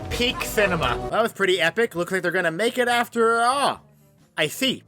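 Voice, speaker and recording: A man's voice: high-pitched, animated, moderate pace, music in the background. A man narrating a comedic movie review as host, sarcastic and mocking.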